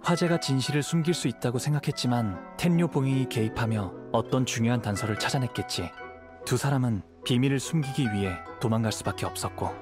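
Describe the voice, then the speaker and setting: An actor playing a calm, thoughtful young wandering samurai in a video game, speaking in a measured, analytical way. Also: male voice, medium pitch, music in the background, close to an everyday voice.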